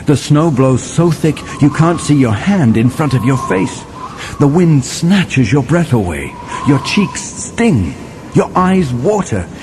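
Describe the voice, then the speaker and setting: A man's voice: mid-range voice, noisy background. A man playing a settler who recounts a winter adventure in vivid, dramatic children's story narration.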